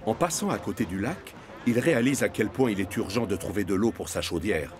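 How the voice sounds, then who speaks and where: A man narrating a children's cartoon from a script, as an expressive storyteller. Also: on the low side, a little fast. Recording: music behind the voice.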